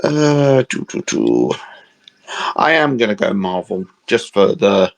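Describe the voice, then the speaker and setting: British guy, deep voice, rambly casual chat, lots of little laughs. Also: wildly swooping pitch, slow, gravelly. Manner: rambling, hesitant, laughs often. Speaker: podcast co-host.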